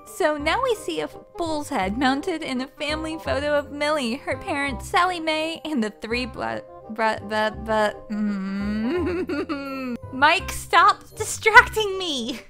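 A woman's voice: high-pitched, animated, slow pace, music in the background. A woman reading scripted fact-list narration as a video narrator, sometimes flubbing her lines. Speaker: video narrator presenting episode facts.

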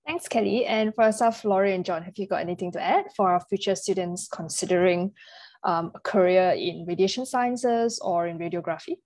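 A woman moderating a webinar, brisk and polite as she passes questions to the panel. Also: lowish voice, darkish tone, no echo.

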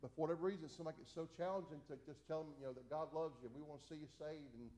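A man's voice: on the high side, steady tone, racing, warm tone. A man in his forties preaching at a Bible study from the pulpit of a small church, folksy, with many restarts and repeated amens. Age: 40s. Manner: folksy, repetitive, stammering restarts, frequent "amen". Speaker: pastor.

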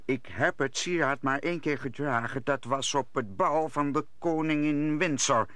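An actor playing an agitated, decisive duke in a radio play. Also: male voice, on the high side, normal speed, a bit bright, dry recording, close to an everyday voice. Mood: alarmed.

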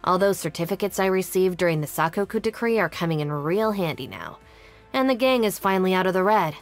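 A woman's voice: fairly low pitch, expressive, fairly quick. A woman playing a capable, composed young deputy gang leader in a video game, speaking in a dry, self-assured, matter-of-fact way. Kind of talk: scripted game character monologue. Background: background music.

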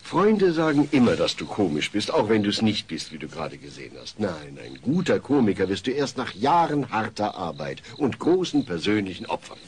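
Dry exasperated dad, lively intonation, sitcom audio drama, slight tape noise. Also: male voice, on the low side, moderate pace, hardly any pauses. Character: exasperated, dry-witted family father. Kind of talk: sitcom audio play acting. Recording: slightly noisy cassette transfer.

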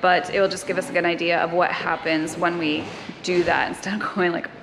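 A woman's voice: on the low side, little pitch movement, a little fast. A woman in her thirties casually explaining a boat build step by step, filming herself with a camera held at arm's length in a large covered shed. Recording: noisy shed recording.

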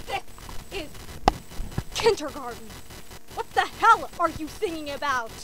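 A woman's voice: high voice, very animated, unhurried, sharp tone, heavily acted, rough recording. A woman playing a stern, outraged school boss in a comedy sketch, curt and scolding. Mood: stern outrage.

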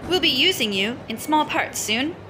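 Woman as a commanding storybook father, animated delivery. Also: high-pitched, normal speed, noisy background, voice pushed up. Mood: instructing. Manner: instructing, firm. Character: commanding, reassuring Roman actor father. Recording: loud music and crowd sounds underneath.

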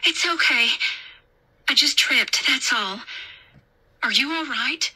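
Woman acting a bright, urgent young babysitter, soothing. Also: high-pitched, expressive, takes it slowly, slightly rough, voice pushed up, exaggerated voice. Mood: reassuring. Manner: reassuring, urgent.

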